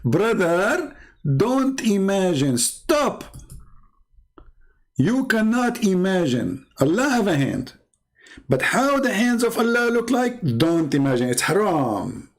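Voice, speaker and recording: A man's voice: sits high, big pitch swings, slow. A man with an Arabic accent hosting a livestream, challenging and mocking his viewers, his voice jumping up sharply and laughing between phrases. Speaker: livestream debate host.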